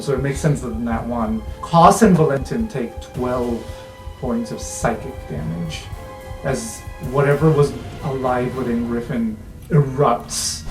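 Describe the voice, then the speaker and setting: A man's voice: mid-range voice, darkish tone. Man running a roomy tabletop game. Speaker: game master. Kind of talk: improvised tabletop game narration. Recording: roomy sound with music underneath.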